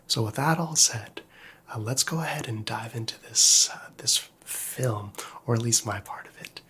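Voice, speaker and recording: A man speaking in a soft, breathy whisper, casually introducing an ASMR video to his viewers in a gently sincere, hesitant way. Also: medium pitch, normal speed. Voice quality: breathy whispering, often unvoiced.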